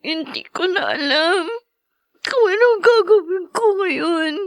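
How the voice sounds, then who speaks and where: A woman's voice: very high voice, lively intonation, slow pace, dry recording, full character voice. An actress playing a young woman grieving her mother, tearful and pleading. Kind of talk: radio-style drama acting.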